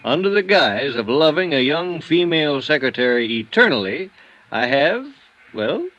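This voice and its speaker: An actor playing a rumpled, boozy architect who rambles with grand, ironic self-deprecation in a 1950s radio drama. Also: male voice, fairly high pitch, very animated, normal speed, fairly bright tone. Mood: mock confessional. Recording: dull, faintly noisy vintage radio recording.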